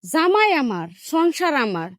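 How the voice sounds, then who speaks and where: A woman's voice: high voice, very animated, measured pace, dry recording, clean studio sound. A woman playing a young newlywed daughter-in-law who argues her case, with a rural Bangladeshi accent, in a domestic drama. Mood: defiant. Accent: rural Bangladeshi Bengali. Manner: argumentative, self-justifying.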